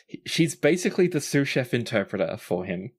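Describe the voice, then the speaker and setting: A person with a medium-pitched voice, narrating a tabletop roleplaying game casually as game master. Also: very animated, a little fast, husky.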